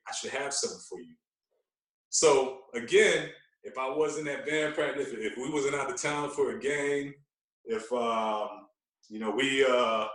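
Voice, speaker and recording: A man tells stories casually as an interview guest on a podcast. His voice sits at a middling pitch, with an animated, repetitive way of talking. It is picked up a little away from the mic in an echoey room. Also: distant mic. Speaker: podcast guest, radio personality.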